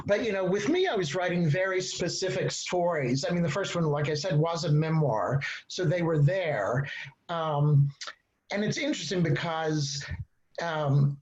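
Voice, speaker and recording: Chatty Texan man, slightly high voice. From Texas. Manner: chatty and digressive, with laughing asides. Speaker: author, panel guest. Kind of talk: casual panel conversation. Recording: dull lo-fi sound.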